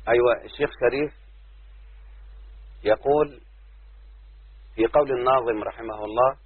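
A man with an Egyptian accent teaches grammar as a sheikh, taking it slow and deliberate and checking in with his listeners. His voice is husky and sits at an ordinary middle pitch. It comes through a dry, tinny, phone-like line. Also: dry recording. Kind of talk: explaining grammar in a lesson.

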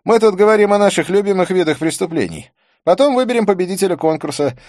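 Give the story a man performing a young private detective who is jovial and teasing. The voice is raised high and swings playfully, with exclamatory delivery for an audiobook. The sound is dry and close. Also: expressive, fast talker, darkish tone, dry recording. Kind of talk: audiobook character voice.

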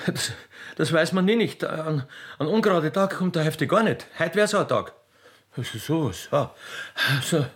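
A man voicing a grumpy, officious young country railway clerk girl with an Austrian accent, halting and cheeky, in a comic radio play. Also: a little high, lively intonation, voice pushed up, close to an everyday voice. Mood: sullen. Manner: halting, stammering, pedantic, cheeky.

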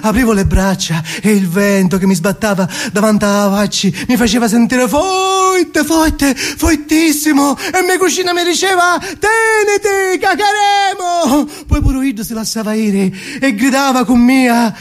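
An actor playing a simple, tender, excitable boy in a theatrical radio monologue, with a Sicilian accent, moving between hushed recollection and shrill outbursts. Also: measured pace, few pauses. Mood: excited, nostalgic, then elated.

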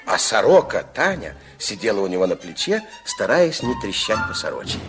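A wry fairy tale storyteller, super expressive, old radio play, music underneath. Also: male voice, on the low side, normal speed. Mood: playful, wry. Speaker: narrator. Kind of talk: scripted radio storybook narration.

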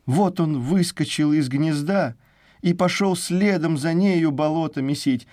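A man telling a scripted folk tale in an expressive, theatrical, folksy storytelling manner. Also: fairly high pitch, unhurried, no echo, clean studio sound.

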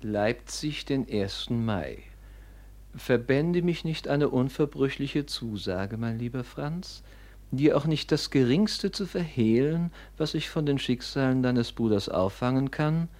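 An actor playing a scheming, envious younger son in a classical radio play, theatrical and declamatory, with an oily show of devotion. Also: male voice, medium pitch, expressive, measured pace, darkish tone, lightly acted. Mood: grave reading aloud. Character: scheming, envious younger son, falsely pious, coldly ambitious.

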